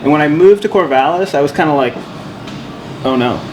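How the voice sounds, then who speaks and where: A male winemaker co-hosting a podcast, telling his story in a relaxed, rambling way with many fillers. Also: on the high side, fairly quick. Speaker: winemaker and co-host. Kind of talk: casual podcast chat. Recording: steady background noise.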